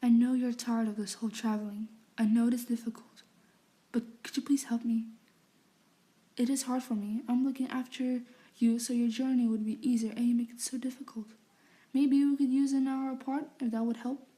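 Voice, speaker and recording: This is a young man of magic, doting and protective, voiced by a woman reading aloud who keeps him quiet and close. He drops into a breathy whisper and stays low-key. The tone sits a touch high and mellow. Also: little pitch movement, normal speed, close to an everyday voice. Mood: tender, soothing. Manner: soft, hushed, partly whispered. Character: young male wizard, protective fiancé.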